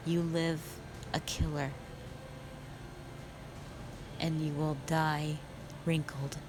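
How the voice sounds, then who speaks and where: A woman playing a cruel, mockingly sweet spider-woman monster who taunts slowly and with menace in a fantasy audio roleplay. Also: deep voice, background noise. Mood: cold contempt. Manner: slow, drawn-out, condescending taunting.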